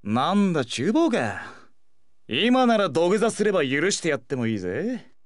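Casting: hot-headed high-school brawler, stubborn and cocky, higher-pitched, hugely animated delivery, anime game. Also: male voice, normal speed, dry recording, clean studio sound. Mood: scornful, irritated. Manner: rough, cocky street-tough speech. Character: brash hot-headed teenage boy delinquent brawler, stubborn and protective. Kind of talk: anime fighting game voice acting.

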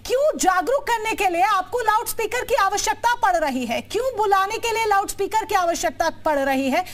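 A woman's voice: very high voice, expressive, a little fast. A woman anchoring a live TV news debate, pressing her guests with insistent questions. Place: TV news studio.

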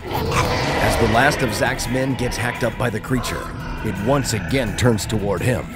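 A man's voice: mid-range voice, unhurried, close to an everyday voice. A man narrating an audio drama in an intense, portentous storytelling manner. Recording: loud cinematic music under narration. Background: loud dramatic music.

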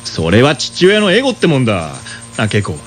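An actor playing a cocky, earnest young suitor who is easily rattled, in a comic radio drama. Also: male voice, medium pitch, wildly swooping pitch, fairly quick, fairly bright tone, faint music behind. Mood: indignant. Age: young adult. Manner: boastful, wheedling.

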